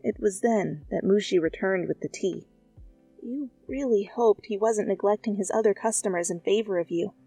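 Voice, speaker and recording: A woman's voice: mid-range voice, moderate pace, vocal fry, dark tone, slightly rough, dry recording, faint music behind, low-quality recording. Playful woman reading fan fiction, close up. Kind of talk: expressive second-person story narration, ASMR fanfic reading.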